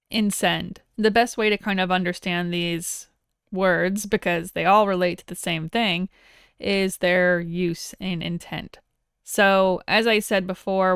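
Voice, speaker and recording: Very clear woman giving an informal tutorial. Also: medium pitch, fairly bright tone, dry recording, clean studio sound. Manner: explanatory, plain-spoken. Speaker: audio software instructor. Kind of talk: informal screen tutorial narration.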